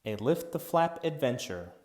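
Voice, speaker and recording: A man narrating a rhythmic children's picture book as an expressive read-aloud for young listeners. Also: mid-range voice, moderate pace.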